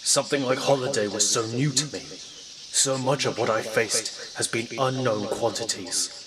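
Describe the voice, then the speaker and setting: A man playing a grim, brooding masked vigilante and stern father in an audio drama, speaking gravely and deliberately. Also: medium pitch, a crowd in the background, close to an everyday voice, low-quality recording. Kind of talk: dramatic first-person narration.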